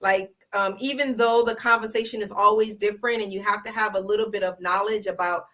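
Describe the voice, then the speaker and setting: A woman's voice: mid-range voice, fairly even intonation, brisk, a bit bright. A woman hosting a casual panel discussion, putting questions to her guest in a conversational way. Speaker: panel show host. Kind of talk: casual interviewing. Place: online group video call.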